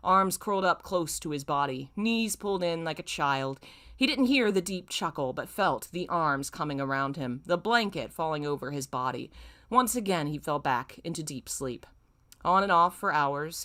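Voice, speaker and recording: A woman's voice: sits low, a bit bright. A woman streamer commenting casually and reading aloud in an exclamatory, informal, self-mocking manner.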